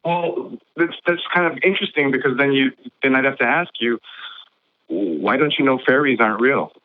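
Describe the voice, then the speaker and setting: Man on a tinny phone line. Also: mid-range voice. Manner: halting, repetitive, persistent. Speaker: caller to a call-in show. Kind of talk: debating with the host. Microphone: phone call.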